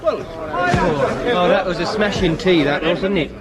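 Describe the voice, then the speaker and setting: An actor playing a childish, pleading English teenage lad in a sitcom, with a boyish voice. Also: male voice, high-pitched, very animated, takes it slowly, over a noisy crowd, heavily acted. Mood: cheerful. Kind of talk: broadcast sitcom acting. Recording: very noisy old radio comedy recording.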